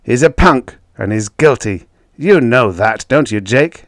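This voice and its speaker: Storybook role: gruff, breathless old prosecutor, voiced by a man, blunt and forceful, with swooping delivery. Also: mid-range voice, animated, measured pace, no room sound, studio quality. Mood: gruff, exasperated. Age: older. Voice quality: wheezy, short of breath. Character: heavy old district attorney, gruff and combative.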